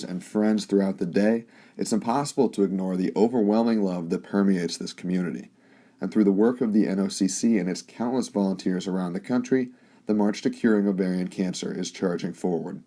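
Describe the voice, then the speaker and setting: A man's voice: on the low side, dark tone. A man reading scripted narration as a reporter for a news report.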